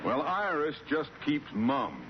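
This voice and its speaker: A man's voice: on the high side, sing-song, takes it slowly. A man playing a blustery, wry, middle-aged boss with an American accent in an old radio sitcom. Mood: genial, wry. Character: blustery, exasperated well-to-do boss, henpecked husband. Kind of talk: 1940s-50s American radio sitcom acting. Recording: very noisy, muffled old radio broadcast.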